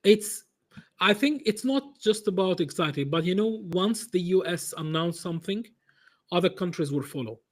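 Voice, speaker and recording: A man answering interview questions in a halting way, with many restarts and repeated words. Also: high-pitched, lively intonation. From Egypt. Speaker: business consultant guest.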